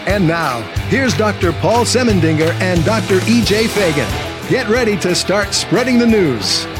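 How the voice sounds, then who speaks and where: A man reads a polished, hyped show intro in a classic announcer style. His voice is high for a man. Loud guitar music plays under him throughout. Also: lively intonation, moderate pace. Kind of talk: scripted promo intro.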